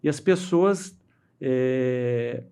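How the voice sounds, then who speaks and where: A middle-aged man from Brazil with a Brazilian Portuguese accent, telling stories as an interview guest in a halting, restart-heavy way, voicing the people he quotes. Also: medium pitch, warm tone, smooth. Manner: halting, with many restarts and fillers; acts out quoted voices while telling stories. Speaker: interview guest, dam engineer. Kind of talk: casual storytelling interview.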